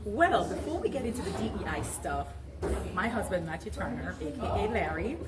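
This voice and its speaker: A woman's voice: mid-range voice, big pitch swings, a little fast. A woman hosting a talk show in a casual, chatty interview style, often with laughter in her talk. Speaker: talk show and podcast host. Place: coffee house. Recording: echoey, distant mic in noisy crowded room. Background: crowd chatter.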